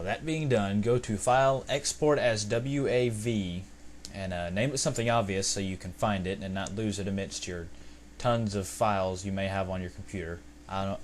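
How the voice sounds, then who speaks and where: A man with a somewhat low voice narrates a software tutorial step by step. His delivery is informal and unscripted, explaining things casually as he goes. The amateur home recording carries a faint, steady fan-like noise behind him. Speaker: video tutorial presenter.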